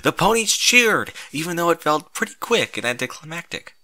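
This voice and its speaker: A man's voice: fairly high pitch, sing-song, normal speed, bright, forceful tone. A man narrating a comedic fan-fiction story with mock-epic, theatrical emphasis.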